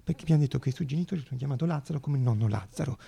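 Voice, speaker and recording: A man performing a theatrical monologue as a storyteller, with a raspy, gravelly voice and dramatic delivery full of deliberate pauses. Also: medium pitch, animated, mellow. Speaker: stage actor and storyteller.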